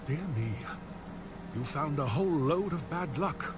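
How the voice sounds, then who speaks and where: An actor playing a cursed, anxious would-be knight in a video game, speaking with a gloomy, doom-laden delivery. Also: male voice, fairly high pitch, lively intonation, a little slow. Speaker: game character. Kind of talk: voiced game dialogue. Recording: game audio with clear background noise.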